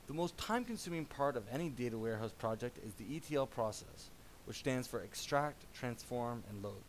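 A man narrating a software product demo as a voice-over, in a measured explanatory tone. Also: medium pitch, animated, a little hiss or hum, low-quality recording.

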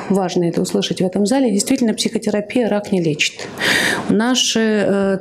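A woman's voice: mid-range voice, gravelly, a little mellow, a little hiss or hum. Earnest woman presenting at a conference. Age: 40s. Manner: earnest, explanatory, with frequent fillers and self-corrections. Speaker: oncology psychologist presenting at a conference. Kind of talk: conference talk with slides. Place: conference hall, at a lectern.

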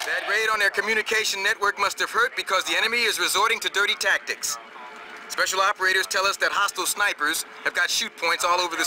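A tough male mission commander, played by an actor, barks clipped, forceful orders in a video game briefing. His voice is fairly high, with clear military radio noise around it. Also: close to an everyday voice.

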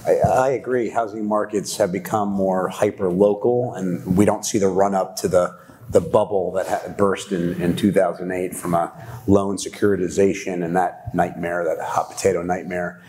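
A man with a very low voice speaks on a conference panel, thoughtful and explanatory. He often ends points with a quick tag question. His lapel mic picks up a faint hall ambience. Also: little pitch movement, dark tone, slight background noise. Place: large conference hall stage.

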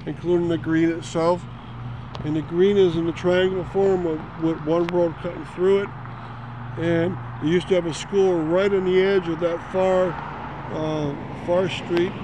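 A man giving a casual, rambling history tour outdoors on a town green, recorded on a phone. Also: fairly high pitch. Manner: rambling, with fillers and self-corrections. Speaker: local history tour guide. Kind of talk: casual walking tour narration. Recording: outdoor traffic noise.

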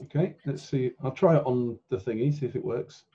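Man playing rpg on a call, really dark mellow voice, muffled audio. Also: medium pitch, fairly quick, slightly rough. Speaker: player. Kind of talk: casual tabletop game chat.

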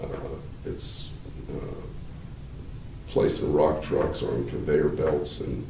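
An older man, a law professor, explaining at length in a measured, halting way with many fillers, seated in a wood-paneled room. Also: very deep voice, fairly even intonation, creaky, dark tone. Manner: gestures with hands while talking. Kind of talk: explanatory interview answers. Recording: roomy, slightly distant, faint hiss.

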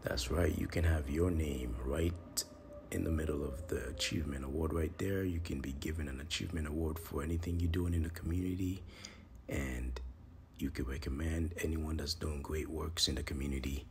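Man, podcast host, extremely low pitch, creaky fry, portentous promotional monologue, noticeable background noise. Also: fairly even intonation, moderate pace, darkish tone.

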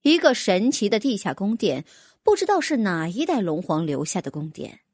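Husky woman narrating with lively intonation. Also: mid-range voice, normal speed, dry recording, studio quality. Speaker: audiobook narrator. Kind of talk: scripted dramatic fantasy novel narration.

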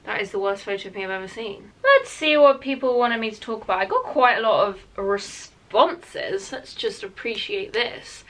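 Bright-voiced young British woman chatting. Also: mid-range voice, expressive, normal speed, few pauses, low-quality recording. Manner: informal and chatty, heavy use of "like". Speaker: vlogger. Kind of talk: casual get-ready-with-me chat to camera. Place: small room at home, indoors. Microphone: camera a metre or so away.

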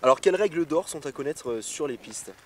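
An interviewer hosting the show asks questions from notes outdoors on a snowy slope, using a handheld mic and a brisk manner. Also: mid-range voice, expressive, a little hiss or hum. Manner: brisk, asks questions in long run-on phrasing.

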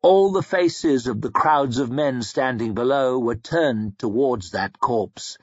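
Husky, slow-paced male audiobook narrator. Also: mid-range voice, no echo, highs cut off. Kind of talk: dramatic scripted fiction narration.

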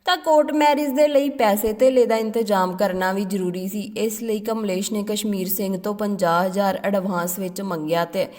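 A woman's voice: mid-range voice, steady tone, normal speed, few pauses, a little mellow. A woman reads a moral-tale style story aloud as a storyteller, now and then stumbling over and repeating words. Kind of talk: scripted story narration, read aloud. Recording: long echoing reverb on the voice.

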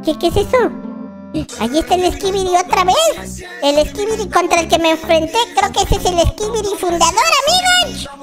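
A performer voicing an excitable, boastful but kind baby boy game character with a squeaky childlike voice, exclaiming and repeating words as gameplay roleplay commentary. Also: normal speed. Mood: worried. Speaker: gaming channel host. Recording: music behind the voice. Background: children's music.

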